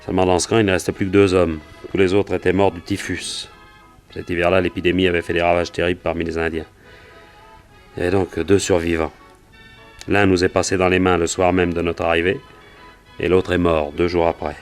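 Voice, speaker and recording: A man's voice: sits low, little pitch movement, fairly quick, soft background music, close to an everyday voice. An actor playing a wary, plain-spoken cowboy and gold prospector in a French radio detective drama, telling his story in colloquial speech. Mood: recounting earnestly.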